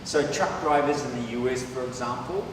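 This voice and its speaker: A man's voice: mid-range voice, moderate pace, background noise. A man with a New Zealand accent giving a conference presentation, chatty and peppering his points with "right?". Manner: conversational, rhetorical tags like "right?". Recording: echoey hall from far off.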